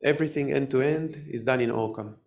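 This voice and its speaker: Gravelly man with Israeli accent. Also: medium pitch. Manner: halting, many fillers and restarts. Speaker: tech presenter. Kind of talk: live conference talk with demo.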